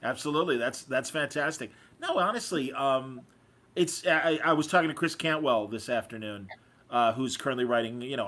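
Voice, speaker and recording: A man's voice: mid-range voice, fairly bright tone. A man hosting a podcast in casual interview chat, with an enthusiastic, effusive manner. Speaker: podcast host.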